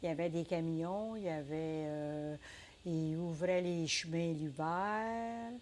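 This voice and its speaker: An older woman with a Québécois accent, telling her story in a casual interview, unhurried and hesitant, full of fillers. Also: low-pitched, darkish tone, slight background noise. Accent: Québécois French. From Quebec. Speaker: interviewee, entrepreneur.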